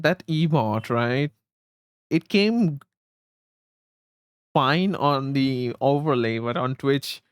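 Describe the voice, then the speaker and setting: Guy chatting on stream, slow n halting, repeats himself, close dry mic. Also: mid-range voice, smooth, no echo. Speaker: live streamer and host. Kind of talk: casual livestream chat with viewers. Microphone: close streaming microphone.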